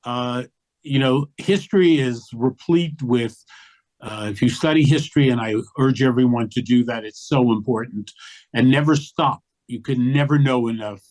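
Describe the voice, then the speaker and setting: An older man speaks as a guest in an interview. His voice sits at a middle pitch, and he talks in a passionate, emphatic way. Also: normal speed, darkish tone. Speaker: guest, activist. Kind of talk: interview conversation.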